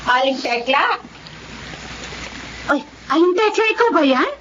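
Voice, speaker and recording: An actress playing a kind, forgiving, devout younger neighbour woman, expressive and sympathetic, in Tagalog radio drama. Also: sits high, unhurried, full character voice. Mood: surprised, concerned. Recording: dull audio with faint background noise.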